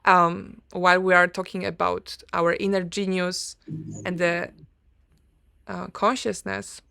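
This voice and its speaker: A woman with a Polish accent, a native Polish speaker, hosting an online interview in a soft-spoken, hesitant way with many ums. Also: lowish voice, little pitch movement, takes it slowly, creaky, clear, resonant tone, dry recording, studio quality. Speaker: host.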